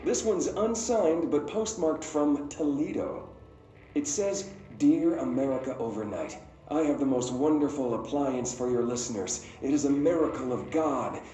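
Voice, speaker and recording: An actor playing a late-night paranormal radio host, speaking with deliberate, dramatic pauses in an eerie video game monologue. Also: male voice, mid-range voice, dark tone, reverberant, soft background music, close to an everyday voice, poor audio. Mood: measured, intriguing.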